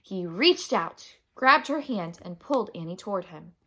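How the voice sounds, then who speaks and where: Woman reading a children's story aloud expressively. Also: mid-range voice. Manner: dramatic, animated storytelling with deliberate emphasis. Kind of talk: expressive children's chapter book narration.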